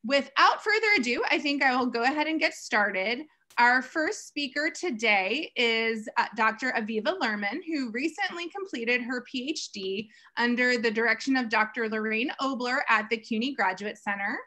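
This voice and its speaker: A woman's voice: on the high side, lively intonation, moderate pace, bright, forceful tone. A woman, about thirties, hosting an online lecture series and reading out introductions over a video call. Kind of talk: scripted introduction of speakers. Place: indoor room on a video call.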